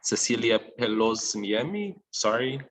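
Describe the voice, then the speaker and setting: A man's voice: medium pitch, sing-song, measured pace, fairly bright tone, a little hiss or hum, rough recording. A young man presenting an online talk over a video call, chatty and loose, with lots of "um"s and restarts as he speaks. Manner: casual, chatty, with frequent fillers and self-corrections. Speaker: alumni network project assistant presenting a webinar.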